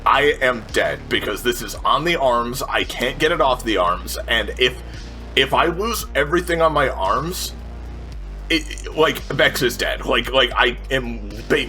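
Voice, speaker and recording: A man's voice: medium pitch, bright, forceful tone, low-quality recording. A man playing a tabletop role-playing game, thinking aloud, repeating himself and swearing casually as he talks through his moves and narrates his character.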